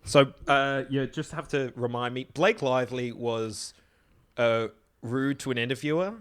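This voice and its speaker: A man's voice: mid-range voice, steady tone, measured pace, smooth. A man guesting on a podcast, riffing sardonically in a loose, sweary, comedic conversational style. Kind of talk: casual comedic chat.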